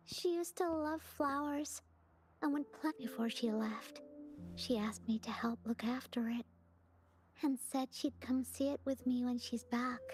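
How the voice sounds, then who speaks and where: Sad earnest little girl from a video game, really high soft voice, takes it slow. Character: wistful little girl missing her mother.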